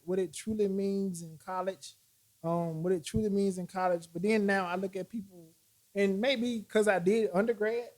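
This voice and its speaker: A man in his forties chatting animatedly with friends, arguing his point through examples and quick restarts. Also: high voice, darkish tone. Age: 40s. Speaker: podcast host. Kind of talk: casual chat.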